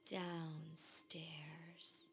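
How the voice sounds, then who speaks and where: The Dark of a children's storybook, as a woman reader puts it on, is calm and mysterious. It speaks slowly and stretches its words, coaxing gently like an unseen presence. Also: low voice, full character voice. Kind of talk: storybook read-aloud.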